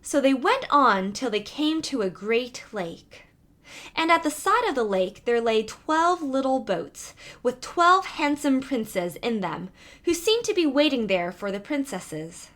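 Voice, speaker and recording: A woman's voice: high-pitched, sing-song, measured pace, a bit bright. A woman reading a fairy tale aloud as a storybook narrator.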